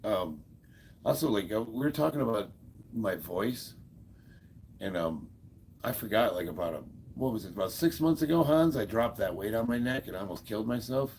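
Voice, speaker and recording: A man in his fifties with a deep, gravelly voice, stuffed up, chatting casually on a livestream and answering viewer comments with frequent chuckles. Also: lively intonation, normal speed, a little mellow, a little hiss or hum. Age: 50s. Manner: laid-back, chuckles often, many fillers. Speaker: livestream host.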